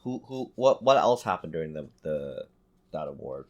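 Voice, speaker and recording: A man's voice: rumbling low, sing-song, moderate pace, a little mellow, dull audio. A man co-hosting a podcast, talking casually about video games. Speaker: podcast co-host.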